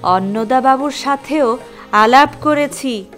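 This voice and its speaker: A woman's voice: sits high, slow, fairly bright tone, faint music behind. A woman acting a firm, practical, chiding mother arranging her son's marriage in an audio drama. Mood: insistent. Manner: chiding, matter-of-fact.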